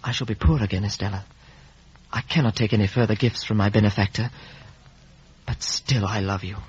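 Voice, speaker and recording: An actor playing an earnest, lovelorn young gentleman in a 1950s radio drama. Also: fairly low pitch, steady tone, brisk, slight background noise, close to an everyday voice. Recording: muffled, dull vintage radio recording.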